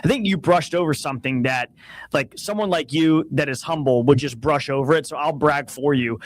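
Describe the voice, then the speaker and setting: A man hosting a podcast with a voice pitched a little high. He talks in an easygoing, enthusiastic way, throwing in "dude" and "man" as he draws out his guest. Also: normal speed. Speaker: podcast host. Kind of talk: casual interview chat.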